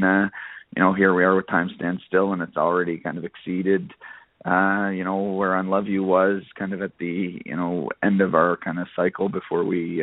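Male interview guest, low register, halting casual delivery, narrow echoey phone audio. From Nova Scotia, Canada. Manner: halting, peppered with "you know". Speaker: interview guest, country singer. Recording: narrow phone line, roomy echo.

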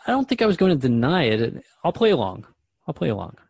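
A man's voice: medium pitch, huge pitch swings, quick pace, vocal fry, a little mellow, slightly rough, no room sound, studio quality. A man hosting a radio drama dialogue, asking questions and musing aloud in a hesitant, conversational way. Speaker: radio host in a scripted dialogue.

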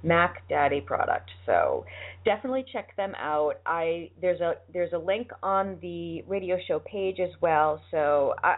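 An American woman hosting a radio show, chatting casually and enthusiastically through announcements and sponsor plugs in a rambling, informal way. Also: fairly low pitch. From United States, lived in Boston. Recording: thin, phone-like band-limited sound.

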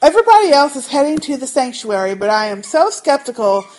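A woman's voice: high-pitched, big pitch swings, brisk, darkish tone, low-quality recording. A woman co-hosting a podcast, chatting in a skeptical, easygoing, slangy way. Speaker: podcast co-host. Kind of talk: casual chat.

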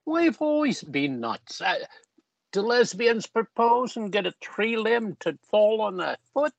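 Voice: mid-range voice, sing-song, measured pace, creaky, no room sound, highs cut off, full character voice. An actor playing a joking, wisecracking young big brother.

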